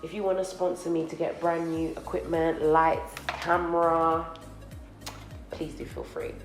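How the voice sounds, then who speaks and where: A chatty young woman talks casually to her viewers, rambling a little as she does her makeup. Her voice is low for a woman and slightly nasal from a cold. The room sounds echoey, with faint music underneath. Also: level tone, measured pace. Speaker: beauty vlogger. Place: co-working office space, indoors.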